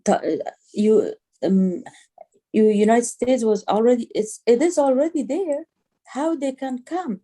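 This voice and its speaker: A middle-aged woman with an Afghan accent, speaking emphatically in halting English as a guest on a video call interview. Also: fairly high pitch, dark tone. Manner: emphatic, repeats phrases in halting non-native English. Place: small home room, indoors. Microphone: video call on a phone or laptop.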